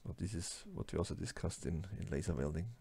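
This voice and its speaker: A man with a German-speaking accent giving a slide lecture, halting with many fillers and restarts. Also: low-pitched, gravelly, mellow, husky, dry recording. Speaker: lecturer on plastics engineering.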